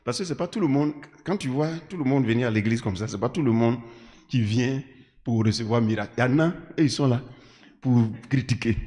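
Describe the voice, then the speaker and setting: A man preaching an animated sermon in French that is not his first language, acting out scenes with sound effects. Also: mid-range voice, a little fast. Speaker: pastor. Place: large church hall. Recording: echoey church hall.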